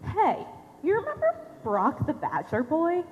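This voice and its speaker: A woman's voice: very high-pitched, wildly swooping pitch, measured pace, highs cut off, full character voice. A young woman on stage playing a casual, gossipy, slightly wistful passerby. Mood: chatty. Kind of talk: high school stage play acting. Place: theater stage, filmed from the audience. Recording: echoey theater stage, faint hum.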